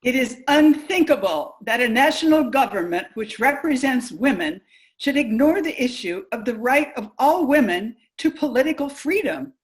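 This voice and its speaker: Woman as indignant suffrage activist, fairly high voice, emphatic oratory for stage reading. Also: lively intonation, moderate pace, rough recording. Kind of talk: reader's theater oratory.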